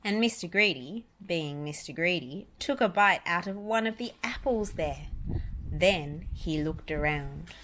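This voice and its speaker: A woman reading a children's story aloud, deliberate and emphatic on repeated descriptive words. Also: fairly low pitch, expressive, fairly bright tone, a little hiss or hum, rough recording. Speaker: storybook reader, parent.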